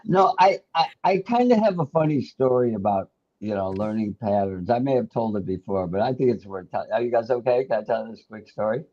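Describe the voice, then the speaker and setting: A man's voice: lowish voice, lively intonation, brisk, highs cut off. A middle-aged American man hosting a casual chat, telling a self-deprecating story and laughing often. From United States. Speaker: host.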